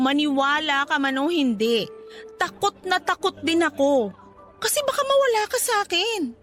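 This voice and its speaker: A young girlfriend in a radio drama, with a high voice and earnest, emotional delivery. She is anxious and pleads with her boyfriend over faint music. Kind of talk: Tagalog radio drama acting.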